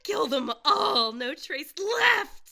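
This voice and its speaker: A performer voicing a creepy, fanatical vampire minion with menacing, drawn-out chanting in an audio roleplay. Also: high voice, big pitch swings, vocal fry, sharp tone, pitched above the performer's own voice, exaggerated voice. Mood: fanatical menace.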